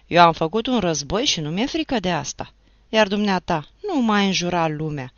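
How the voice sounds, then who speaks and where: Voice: medium pitch, big pitch swings, bright, forceful tone, no room sound, highs cut off, pitched above the performer's own voice. A reader voicing a grown village man, a war veteran, who answers back with blunt mocking retorts. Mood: defiant. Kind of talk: audiobook narration.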